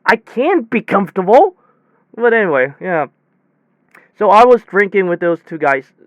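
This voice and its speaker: A man playing a rattled technician in an audio drama, telling his story conversationally in halting, repetitive bursts full of false starts and self-corrections. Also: high voice, big pitch swings, no echo, muffled recording. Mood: flustered. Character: rattled, rambling young technician retelling a bizarre demon encounter. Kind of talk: hobby audio drama monologue, conversational retelling.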